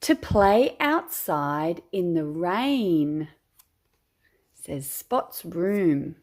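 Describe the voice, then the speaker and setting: An Australian woman, a teacher, reading a children's picture book aloud with deliberate, expressive phrasing. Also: on the low side. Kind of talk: children's storytime read-aloud.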